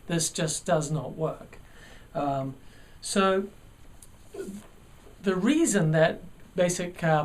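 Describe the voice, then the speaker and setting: New Zealand man teaching a writing lesson. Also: fairly high pitch. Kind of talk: video lesson.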